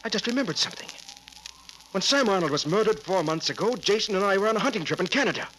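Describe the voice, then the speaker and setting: Earnest protective boyfriend in a 40s radio thriller, highish animated voice, slight noise. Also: male voice, fast talker. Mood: shocked, uneasy. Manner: earnest, dramatic. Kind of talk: 1940s radio acting. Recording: faintly noisy old radio recording.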